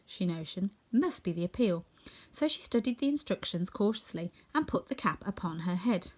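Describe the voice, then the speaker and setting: A woman narrating a children's storybook in an expressive, scripted reading. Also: medium pitch. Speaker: storybook reader.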